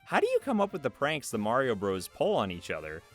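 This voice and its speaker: Animated man interviewing over game music. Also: medium pitch, fairly quick. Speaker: interviewer, host. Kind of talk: casual interview questions and chat. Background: video game music.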